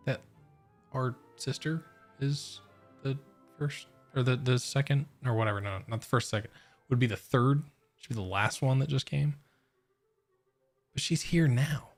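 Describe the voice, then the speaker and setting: A man livestreaming a game, reacting casually and thinking aloud in a rambling, halting way. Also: mid-range voice, faint music behind. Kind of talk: casual live reaction and chat.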